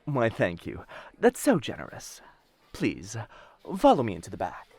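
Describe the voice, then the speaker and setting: A man's voice: lowish voice, huge pitch swings, a little slow, creaky, darkish tone, exaggerated voice. A man voicing a polite, ingratiating merchant in an ASMR roleplay, courteous and deferential. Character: polite, ingratiating male merchant, an assassin's disguise.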